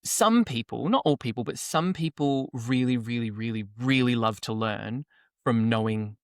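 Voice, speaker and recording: A man co-hosting a language podcast in casual chat. He speaks softly and deliberately, pausing to search for his words. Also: medium pitch, no room sound, clean studio sound. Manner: measured, searches for words, soft-spoken. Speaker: podcast co-host.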